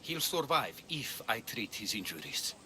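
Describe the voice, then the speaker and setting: A man playing a conscience-torn ancient healer in a video game, earnest and measured. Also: lowish voice, sing-song, pushed and bright, slight background noise, full character voice. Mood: troubled. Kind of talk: dramatic game cutscene dialogue. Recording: music bed behind voice.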